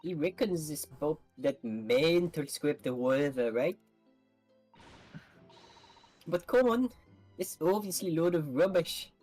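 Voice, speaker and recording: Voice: medium pitch, expressive, normal speed, a little mellow, breathy edge, faint music behind. A streamer voicing a blunt, distrustful young orphan girl in colloquial speech for a video game fan-dub. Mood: scornful. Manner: colloquial, skeptical, dropped grammar.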